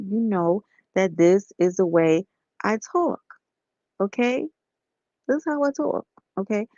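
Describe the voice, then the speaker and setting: A woman, slightly hoarse, talking softly and casually to viewers on a live stream from bed. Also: mid-range voice, dry recording, muffled recording. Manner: soft-spoken, talks straight to viewers as y'all and honey.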